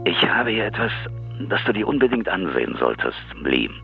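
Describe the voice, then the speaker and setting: A man's voice: low voice, sing-song, fairly quick, gravelly, narrow, tinny audio, soft background music, full character voice. An actor in a German radio thriller playing a secretive male ex-agent turned security chief, heard over the phone. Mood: conspiratorial.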